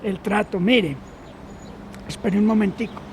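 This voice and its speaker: An actor playing a gruff, shady, mocking gatekeeper with slangy, drawling speech in an audio drama. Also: male voice, sits high, fairly even intonation, a little slow, darkish tone, slight background noise. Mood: gloating, sly.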